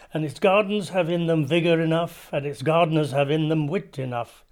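An actor playing a witty Victorian gentleman writer, reading journal extracts in a measured, literary way. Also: fairly high pitch.

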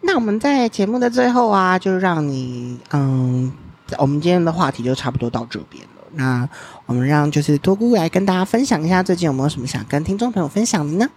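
A man's voice: on the high side, expressive, a little fast, hardly any pauses, darkish tone, slight background noise. A man hosting a casual podcast interview, chatty and curious, often laughing between questions. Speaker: podcast host.

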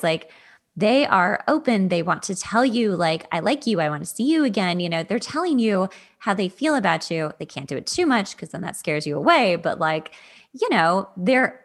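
A woman hosting a podcast, talking casually about personal advice in a conversational way, repeating words for emphasis. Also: on the low side. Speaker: podcast host. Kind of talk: casual dating advice chat.

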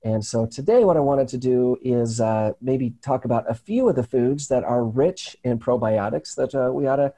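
A man hosts a relaxed health segment over a video call. His voice is mellow and dark, with a slight rasp from a recent cold. He talks in an earnest, halting way, restarting his sentences often. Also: mid-range voice. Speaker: host. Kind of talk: casual health talk show chat. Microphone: remote video call.

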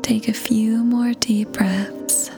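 A woman leads a guided meditation in a soft, breathy near-whisper. Her delivery is very slow and soothing, with gentle pauses and hardly any rise or fall in pitch. Faint music plays behind her. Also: little pitch movement. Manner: soothing, unhurried, gentle pauses between phrases. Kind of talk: scripted guided meditation, ASMR-like. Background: soft new-age music.

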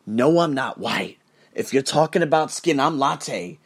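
A man rants straight to camera in a mid-pitched voice. He is blunt and profane, and his pitch jumps up sharply when he presses a point. He often stops and restarts his sentences. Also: animated, measured pace, husky. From United States. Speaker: podcast host. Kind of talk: ranting monologue to camera.

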